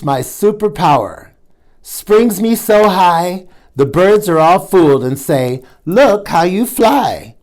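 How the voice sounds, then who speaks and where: A man's voice: sits high, a little mellow, heavily acted. A man doing a playful rhyming springtail nymph.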